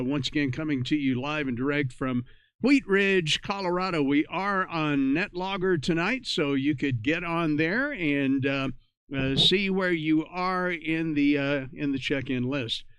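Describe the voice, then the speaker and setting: A man's voice: fairly high pitch, unhurried, a bit bright, clear, resonant tone, no room sound. A man hosting an amateur radio net, reading out announcements and procedural reminders in an informal, conversational way.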